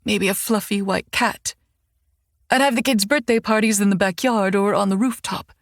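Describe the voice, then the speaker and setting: A woman voicing a tender, emotional young woman who is crying, in a soft, halting audiobook reading. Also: mid-range voice, fairly even intonation, dry recording, studio quality, natural voice. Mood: wistful. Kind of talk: audiobook character voice.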